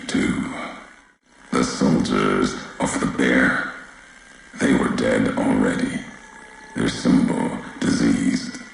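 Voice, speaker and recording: Grim vengeful wasteland courier, video game character, extremely low-pitched, slow and solemn, gravelly, world-weary, cryptic. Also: male voice, very echoey, a little hiss or hum, heavily acted, low-quality recording. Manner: solemn, deliberate, cryptic, clipped phrasing.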